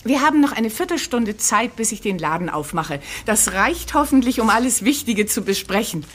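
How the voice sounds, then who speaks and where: An actress playing a polite, busy, earnest middle-aged shop owner in an audio drama. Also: female voice, on the high side, very animated, normal speed, a bit bright. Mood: businesslike. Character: busy, worried female bookshop owner, polite.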